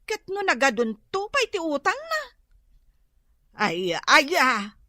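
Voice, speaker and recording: A woman's voice: high-pitched, huge pitch swings, slow, pushed and bright, no echo, studio quality, full character voice. A woman playing a nosy, envious, gossiping housewife who mutters about neighbors in a comedic radio drama.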